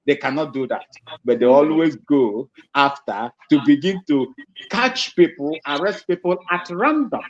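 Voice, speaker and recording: A male caller speaking gravely, stammering at times. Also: fairly high pitch, animated, unhurried, muffled recording. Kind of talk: casual call-in chat.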